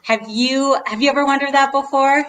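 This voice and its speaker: A woman's voice: high-pitched, fairly even intonation, moderate pace, fairly bright tone, a little hiss or hum. A woman hosting a read-aloud for children, speaking as a librarian in an encouraging way and putting questions to her listeners. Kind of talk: children's storytime chat.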